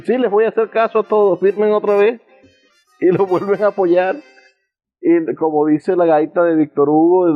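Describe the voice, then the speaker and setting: A Venezuelan man interviews on radio in a fairly high voice. He talks conversationally and at length, through a thin, phone-like sound with music in the background.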